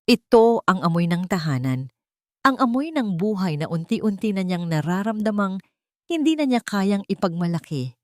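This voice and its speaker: A woman's voice: mid-range voice, big pitch swings, normal speed, dry recording, clean studio sound. A woman giving a dramatic scripted narration of a love story.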